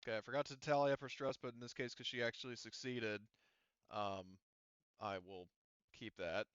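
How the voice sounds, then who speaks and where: A man acting as game master for a tabletop roleplaying game, talking casually and haltingly as he works through rules and narrates combat. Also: mid-range voice, fairly even intonation, a bit bright, clean tone, muffled recording. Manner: casual, halting delivery while working out rules.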